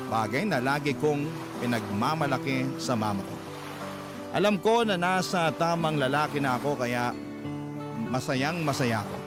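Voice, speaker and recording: A man's voice: a little high, lightly acted. A man playing a devoted young husband, telling his life story in first-person radio drama narration. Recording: loud background music under the voice.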